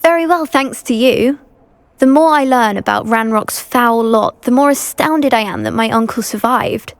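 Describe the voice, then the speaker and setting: Woman doing anxious british teen witch girl for a game, polite n earnest, bit high, creaky edge, dry close sound. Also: moderate pace, no echo, lightly acted. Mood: grateful, uneasy. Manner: earnest, well-spoken. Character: anxious, polite teenage schoolgirl witch devoted to her uncle. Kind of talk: video game dialogue acting.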